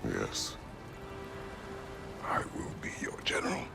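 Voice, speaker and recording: A man playing a grave, stoic warrior general in a video game, speaking tersely in a gravelly, breathy rumble. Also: over music, natural voice. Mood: solemn resolve. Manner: solemn, terse, deliberate.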